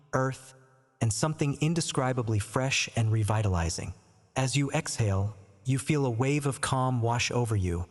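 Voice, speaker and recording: A man narrating a scripted bedtime story and guided sleep meditation in a calm, gentle, unhurried, soothing manner. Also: medium pitch. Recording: long reverb on the voice.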